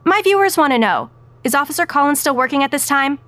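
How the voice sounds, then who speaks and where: A woman's voice: sits high, lively intonation, fast, a bit bright, no echo. A woman playing a righteous, combative online political commentator, pointed and insistent in an audio drama. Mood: pressing, indignant. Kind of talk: scripted audio drama acting as a video presenter.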